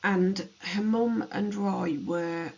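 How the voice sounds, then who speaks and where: A podcast host with a hoarse, gravelly voice from allergies, narrating a true-crime history thoughtfully with many pauses. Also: medium pitch, fairly even intonation, darkish tone, muffled recording.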